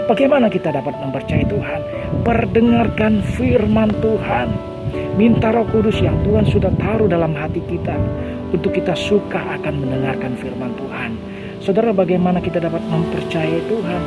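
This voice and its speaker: High-voiced man preaching over loud music. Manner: earnest, exhortatory, with repeated phrases and rhetorical questions. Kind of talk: devotional sermon.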